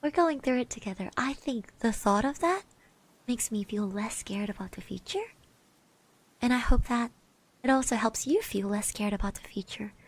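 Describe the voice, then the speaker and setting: A woman doing an ASMR roleplay as a caring girl classmate, soft-spoken and hesitant, close to the mic and often slipping into breathy whispers. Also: on the high side, lively intonation, moderate pace. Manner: hesitant, gently reassuring, speaks of herself in third person. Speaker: ASMR roleplay performer, streamer. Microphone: close microphone.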